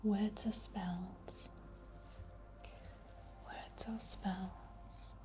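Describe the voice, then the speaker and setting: Whispering Northern English woman, soft ASMR. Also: medium pitch, little pitch movement, faint music behind. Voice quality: breathy, unvoiced whisper. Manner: soft, unhurried, reassuring. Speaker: ASMR creator and witch. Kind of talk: whispered ASMR, gentle spiritual affirmations.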